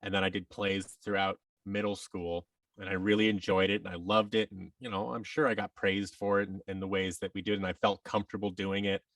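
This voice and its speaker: A man telling his story casually as a podcast guest, reflective and halting, often acting out bits of quoted dialogue. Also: deep voice, little pitch movement, a bit bright, dry recording, distant mic. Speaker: podcast guest, actor and audio drama producer.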